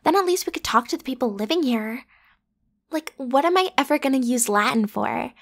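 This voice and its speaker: A woman's voice: sits high, lively intonation, fairly quick. A woman playing a bratty, playful popular student girl in an ASMR roleplay, with casual, slangy, teasing delivery. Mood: chatty, complaining. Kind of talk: ASMR roleplay acting, one side of a conversation.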